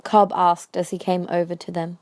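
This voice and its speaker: A woman's voice: on the low side, flat delivery, normal speed, a little mellow. A woman reading scripted story narration for an audio drama as the narrator.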